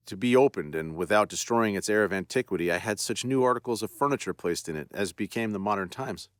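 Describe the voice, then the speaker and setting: A man doing a hushed, courteous old lord. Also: low voice, dry recording, clean studio sound. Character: sincere, regretful male lord and castle host.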